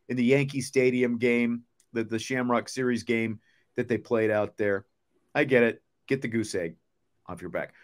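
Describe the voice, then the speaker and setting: A man with a mid-range voice hosts a sports talk show. He speaks casually and conversationally, as if talking things over with a co-host. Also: medium pitch. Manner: conversational, poses questions to co-host.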